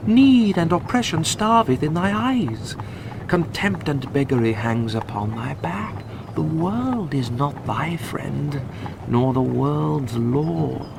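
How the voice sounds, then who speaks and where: Casting: grief-stricken young noble, British, slow deliberate Shakespearean radio acting, clearly noisy background. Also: male voice, mid-range voice, exaggerated voice. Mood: urgent, persuasive. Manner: theatrical, deliberate verse delivery.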